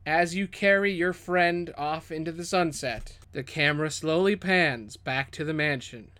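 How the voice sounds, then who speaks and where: Male game master, fairly high voice, dramatic descriptive narration for a tabletop adventure. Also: unhurried, bright, forceful tone, clean tone. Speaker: game master and podcast host.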